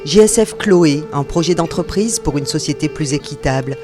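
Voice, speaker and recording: A woman's voice: low voice, expressive, moderate pace. Female narrator, earnest corporate voice-over, guitar music underneath.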